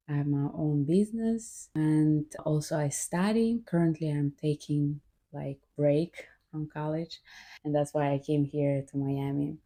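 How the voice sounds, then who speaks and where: A young woman from Kazakhstan, a podcast guest telling her story in a halting way with fillers. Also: low voice, warm tone. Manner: halting, with fillers and frequent laughs. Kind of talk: casual interview answers.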